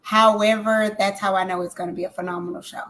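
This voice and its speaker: A woman's voice: medium pitch, fast talker. Emphatic woman singer talking about her craft. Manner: animated, emphatic, repeats phrases for effect. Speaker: singer guest. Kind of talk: casual interview talk.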